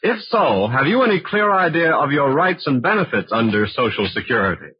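A man announcing in a formal, polished, scripted 1940s radio style, pitching for a sponsor. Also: big pitch swings, brisk, breathy edge, dry recording. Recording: dull old radio broadcast recording.

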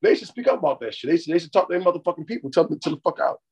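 A man co-hosting a podcast, chatting casually and profanely and telling animated stories. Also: fairly high pitch, rapid-fire, creaky. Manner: animated, swears freely, storytelling. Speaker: podcast co-host.

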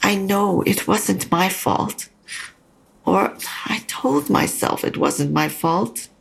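Husky, creaky dying mother. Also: medium pitch, lively intonation, heavily acted. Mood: consoling, earnest. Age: older. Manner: slow, halting, probing. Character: dying mother, frank, consoling, stubbornly insistent. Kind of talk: audio drama acting.

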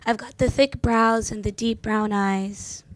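A woman's voice: medium pitch, fairly even intonation, measured pace, no echo, rough recording. A woman in her twenties from Jordan, a native Arabic speaker with an American accent, performing spoken word poetry with rhythmic emphasis. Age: 20s. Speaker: poet reader.

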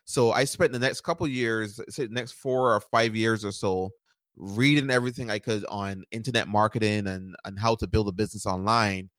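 Low-voiced man from Barbados, dry sound. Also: moderate pace, dry recording. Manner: relaxed, repeats words and uses many fillers. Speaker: startup founder and podcast guest. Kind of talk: conversational interview answers.

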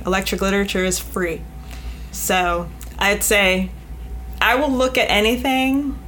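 A woman's voice: fairly low pitch, a bit bright, a little hiss or hum. A woman speaking frankly and emphatically as an editor being interviewed, joking and acting out examples as she explains. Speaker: magazine editor, podcast guest. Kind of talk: animated panel interview talk.

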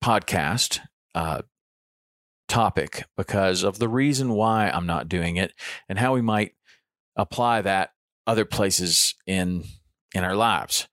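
Low-voiced man in his fifties. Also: slow, pauses often, a bit bright, no echo, studio quality. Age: 50s. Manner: conversational, with frequent ums and restarts. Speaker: podcast host. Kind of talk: casual solo podcast monologue.

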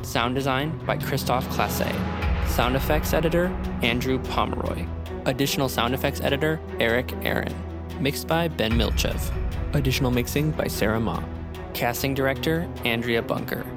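A man's voice: medium pitch, moderate pace. A man reading scripted credits as an announcer. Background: music.

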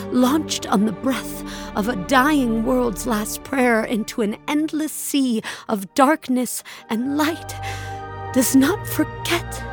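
An actress playing a haunted, solemn poet aboard a starship, narrating her story in a measured, lyrical, deliberate way. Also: sits high, creaky, exaggerated voice. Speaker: narrator and lead character of an audio drama. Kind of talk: dramatic first-person scripted narration. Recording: dry studio with music behind.

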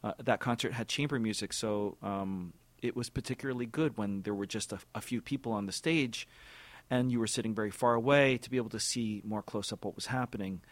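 Halting, thoughtful man in a dry studio. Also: on the low side, no room sound. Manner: thoughtful, halting, many repeated words and fillers. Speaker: orchestra music director. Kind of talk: radio interview answers.

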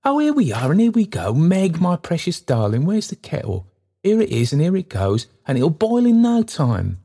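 A man reading aloud puts on a kind, fussy old porter who chatters in a raised, breathless voice, swooping all over the place. He hurries and repeats himself, eager to please. Underneath there is a gravelly edge. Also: fairly high pitch, huge pitch swings, moderate pace, dark tone, pitched above the performer's own voice, exaggerated voice. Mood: bustling, eager. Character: excitable old male porter, bustling and kind.

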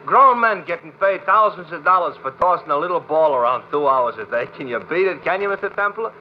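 Man acting a garrulous high-voiced cab driver, old radio. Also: animated, brisk, close to an everyday voice. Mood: chatty, pestering. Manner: garrulous, repetitive, slangy working-class talk. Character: chatty, folksy male cab driver, cheerfully pestering. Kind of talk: golden-age radio drama acting. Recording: muffled, hissy vintage radio recording.

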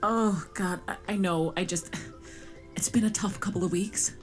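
A woman's voice: mid-range voice, fairly even intonation, normal speed, faint music behind, lightly acted. A woman with an American accent playing a frightened, worn-down mother in a horror audio drama, anxious and hesitant. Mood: worn out, evasive. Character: frazzled, frightened young mother of a small boy.

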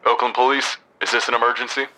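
An actor playing a brisk, indifferent police switchboard operator, clipped and impersonal, in an audio drama. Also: mid-range voice, flat delivery, very noisy, close to an everyday voice.

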